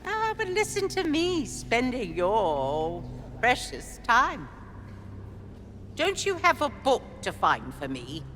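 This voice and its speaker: Intriguing nun, unhurried, highly varied pitch, video game, long reverb, faint ambience. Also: female voice, wildly swooping pitch, fairly bright tone, slight background noise, exaggerated voice. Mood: mysterious, teasing. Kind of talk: cinematic game dialogue.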